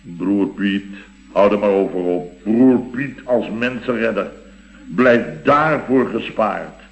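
An actor playing a blustering, sentimental middle-aged building contractor in a radio comedy, rambling and exclamatory. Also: male voice, on the low side, slow, vocal fry, lo-fi sound. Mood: exasperated. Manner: rambling, exclamatory, with repeated tag words. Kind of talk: Dutch radio comedy acting.

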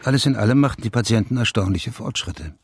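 An actor playing a composed police official who narrates a crime radio drama in a matter-of-fact, dryly understated way. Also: male voice, medium pitch, lively intonation, quick pace, breathy edge. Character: composed male police official and dry-humoured narrator, Garric.

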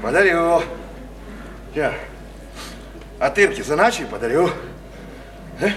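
A man's voice: on the high side, big pitch swings, slow. An actor playing a crude, bullying married working-class man in a Russian radio play, coarse and slangy, jeering and repeating himself insistently. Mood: coaxing, needling. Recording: roomy, slightly noisy stage-like radio recording.

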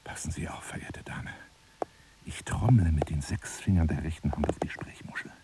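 An actor playing an obsessive, grandiose telephone seducer in a radio drama, husky and breathy, florid and formal, wounded and menacing. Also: male voice, very deep voice, normal speed. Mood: menacing, seductive. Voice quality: husky, breathy, often dropping to a whisper. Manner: theatrical, florid, formal address, swinging from pleading to scornful.

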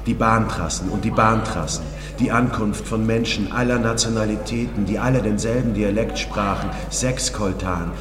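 An actor playing a brooding male poet, delivering a measured, dramatic literary monologue in an audio drama. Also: on the low side, a crowd in the background, close to an everyday voice. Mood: brooding, intense. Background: guitar music.

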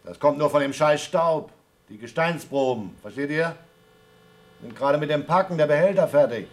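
An actor playing a gruff, sarcastic male astronaut on the moon who swears, in a German radio play. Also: a little high, animated, moderate pace, lightly acted. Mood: irritated.

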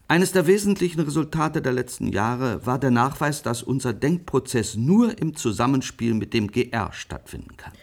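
An actor playing a dignified older professor in a radio play, formal, deliberate and self-assured. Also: male voice, medium pitch, gravelly, exaggerated voice. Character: dignified, authoritative older male professor, renowned scientist. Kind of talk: radio play acting as an interview.

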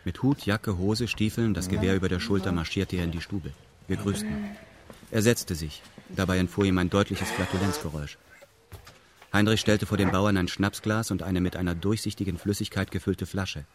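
An actor playing a detached narrator who recounts events in meticulous, matter-of-fact detail in a radio drama. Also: male voice, low-pitched, expressive, moderate pace, background noise.

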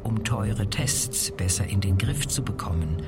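A man playing a computer's factual lecture voice with measured documentary narration in a radio play. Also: on the low side, far from the mic, over loud music, natural voice.

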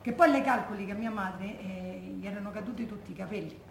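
Actress as Roman widow's daughter, echoey room. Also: female voice, medium pitch, fast, creaky, natural voice. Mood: tender, sorrowful recollection. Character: indignant, grieving daughter of a Roman war widow. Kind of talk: theatrical testimony monologue, colloquial storytelling. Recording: echoey room, faint background noise.

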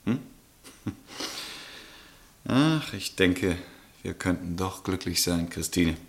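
Genteel nobleman husband, lowish voice, teasing, easygoing and a bit evasive, radio play. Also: lively intonation, slow, gravelly, heavily acted. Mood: tender, coaxing. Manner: teasing, genteel, gently ironic. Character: easygoing, evasive aristocratic husband, charming and indecisive. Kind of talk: German radio drama acting.

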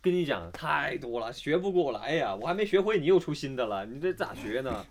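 A woman's voice: extremely low pitch, very animated, a little fast. A woman voice actor chatting casually as a guest in a group interview, often repeating phrases for emphasis. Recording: music playing behind the voice. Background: music.

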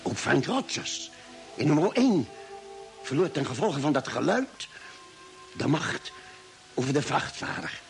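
A space traveller narrating a perilous voyage in a Dutch radio play. His fairly high voice is solemn yet widely inflected, with faint music behind. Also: sing-song, unhurried, vocal fry, long pauses, exaggerated voice. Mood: dramatic, grave. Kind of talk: scripted dramatic narration, Dutch radio drama.

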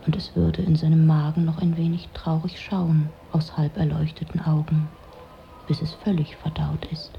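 A woman's voice: deep voice, level tone, moderate pace, gravelly, highs cut off, a little hiss or hum. An actress playing a disillusioned, sardonic middle-aged woman, delivering a dry, brooding literary monologue in a radio drama. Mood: brooding, reflective.

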